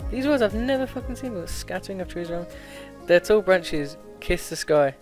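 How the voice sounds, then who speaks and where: A man's voice: sits high, expressive, brisk, soft background music. A man hosting a let's play, reading a visual novel aloud with stumbles, self-corrections and laughs.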